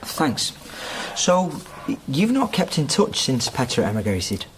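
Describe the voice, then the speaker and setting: A courteous British researcher in a radio drama. His mid-pitched voice is hesitant and explanatory, with faint ambience around it. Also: very animated, a little slow, a bit bright, slight background noise, low-quality recording. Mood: polite, probing. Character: polite, tentative British probate researcher. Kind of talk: naturalistic radio drama acting.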